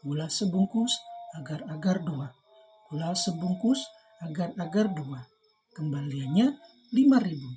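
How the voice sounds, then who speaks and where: Lady playing a sweet earnest kid reciting carefully, storybook style, really mellow tone. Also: low-pitched, animated, unhurried, long pauses, faint music behind. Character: kind, conscientious young child repeating a shopping list.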